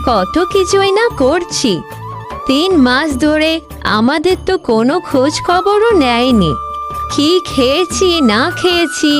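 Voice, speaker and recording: A woman playing a weary, cautious eldest sister-in-law in a cartoon family drama, resigned and elder-sisterly in manner. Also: high-pitched. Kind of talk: melodramatic Bengali cartoon dialogue. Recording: clear music behind the voice.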